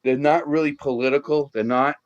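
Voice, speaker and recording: A man's voice: fairly high pitch, steady tone, brisk, muffled recording. A middle-aged man from the Boston area with a Boston accent, a guest in a casual chat who rambles with many restarts and fillers. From Boston area, Massachusetts.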